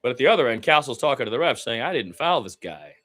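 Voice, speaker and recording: Guy doing radio basketball play by play, loose and opinionated, gets worked up on the big calls, normal pitched voice. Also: fast. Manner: excitable, swinging from low asides to loud calls; informal, opinionated, mildly profane. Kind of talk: live radio play-by-play commentary.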